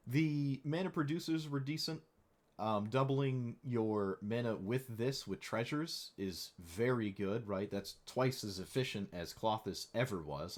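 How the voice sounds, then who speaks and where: A young man streaming a card game, chatting wryly through his plays and teasing viewers, his voice a little hoarse. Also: lowish voice, a little mellow. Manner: chatty, wry, playfully mock-dramatic. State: slightly hoarse. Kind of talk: casual gameplay commentary.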